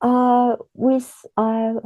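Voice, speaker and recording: A woman, a native Cantonese speaker, answering in a mock speaking exam in halting English with a Cantonese accent, often stopping to search for words. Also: on the high side, level tone, no room sound, narrow, tinny audio. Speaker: exam candidate.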